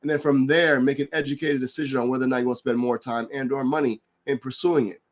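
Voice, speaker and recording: A man who is getting over a cold and sounds a little nasal, hosting a live online work session and explaining its features in a relaxed, rambling way full of fillers. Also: mid-range voice, fast. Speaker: livestream host and developer advocate. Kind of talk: casual explanatory livestream talk.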